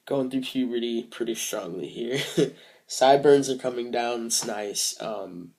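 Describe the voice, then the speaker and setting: A young man recording a casual video diary, speaking hesitantly with many fillers and restarts, soft and reflective. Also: mid-range voice, unhurried. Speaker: vlogger.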